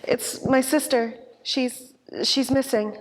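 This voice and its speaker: Woman acting a high-voiced, nervous noir dame. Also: fairly even intonation, takes it slowly, rough recording. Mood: anxious pleading. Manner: hesitant, imploring. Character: nervous, pleading female nightclub singer, a femme fatale client. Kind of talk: 1940s radio noir parody acting.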